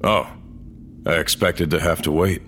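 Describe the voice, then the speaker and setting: An actor playing a weary, stubborn old cyborg courier in a science-fiction audio drama, gruff, terse and dry. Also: male voice, low voice, steady tone, unhurried, fairly bright tone, a little hiss or hum. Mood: wary. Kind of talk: audio drama acting and first-person narration.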